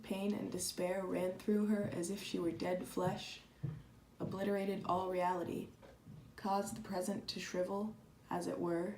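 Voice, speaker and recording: A woman playing an introspective, melancholy writer, reading literary prose aloud in a solemn, measured dramatization. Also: fairly low pitch, mellow, close to an everyday voice. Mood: bleak, solemn. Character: introspective, melancholy woman writing about herself. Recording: echoey room, faint noise.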